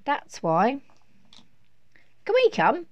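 A woman's voice: high voice, drawn-out words, dry recording, muffled recording, pitched above the performer's own voice, exaggerated voice. A woman voicing an eager, pleading young boy in a children's storybook. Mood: questioning. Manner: pleading, excitable.

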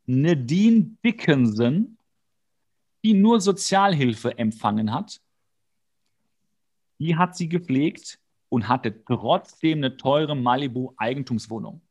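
A man co-hosting a podcast, casually and comically retelling an episode in an animated way with exasperated, slangy outbursts. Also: mid-range voice, slow, pauses often. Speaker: podcast co-host. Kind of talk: casual comedic episode recap.

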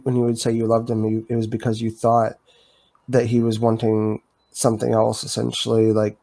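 A man co-hosting a casual chat, speaking calmly and supportively, with short prompts that sum up what his partner said. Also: medium pitch, moderate pace, vocal fry. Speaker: podcast co-host.

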